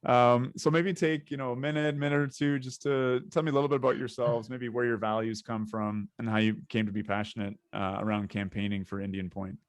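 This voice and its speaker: A Canadian man hosting a podcast interview, talking in a relaxed way with hesitant fillers. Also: medium pitch, brisk, few pauses, no echo. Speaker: podcast host.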